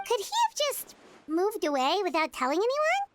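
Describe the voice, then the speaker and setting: A woman's voice: extremely high pitch, huge pitch swings, normal speed, heavy background noise, full character voice. An actress voicing a chatty, curious little companion girl in a video game who talks about herself in the third person. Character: tiny floating girl companion, chirpy and curious. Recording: faint game music behind.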